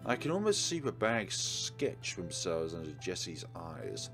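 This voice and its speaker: A man's voice: low voice, wildly swooping pitch, unhurried, a bit bright. A man hosting a let's play, reading game text aloud and commenting on it. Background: game music.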